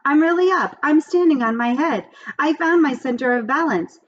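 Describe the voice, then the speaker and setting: A woman acts out an excitable little schoolboy from a storybook, pitched well up high. He is earnest and exclamatory, a bit whiny. The recording is slightly dull. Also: moderate pace. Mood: thrilled.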